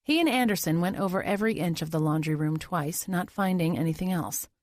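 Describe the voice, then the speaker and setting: A woman's voice: lowish voice, brisk, a little mellow, no echo, studio quality. A woman reading scripted thriller audiobook narration.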